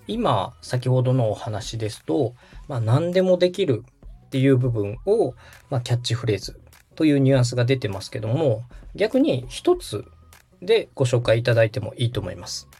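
A man's voice: medium pitch, sing-song, fairly quick, warm tone, breathy edge, faint music behind. A man hosting a podcast, interviewing and coaching a guest in a polite, instructive conversational manner. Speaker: podcast host, radio director coaching guest.

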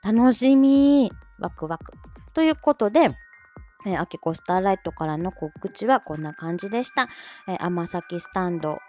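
Female livestream host. Chatty, giggly announcements with highly varied pitch over a faint music bed. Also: expressive, moderate pace. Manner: bubbly, giggly, reacts to listener comments. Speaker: host of a short audio livestream, amateur voice-drama club member. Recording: soft music bed under voice. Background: soft background music.

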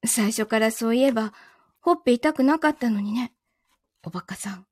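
A woman acting out a wronged girlfriend in an audio drama, high-voiced and possessive. She interrogates with cool, soft menace and keeps a calm, taunting control. The sound is close and dry. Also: a little fast, no room sound, natural voice. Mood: cold interrogation. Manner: calm interrogating, softly taunting, sometimes whispering. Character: possessive, coolly menacing wronged girlfriend holding her lover captive.

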